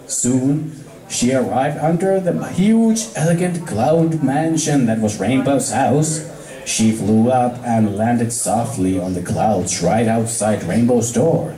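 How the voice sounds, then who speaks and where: A man's voice: medium pitch, slow pace, warm tone. A man with a Finnish accent, a native Finnish speaker, reading fan fiction aloud as an amateur. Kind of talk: story reading to a small group. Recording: echoey room, distant mic.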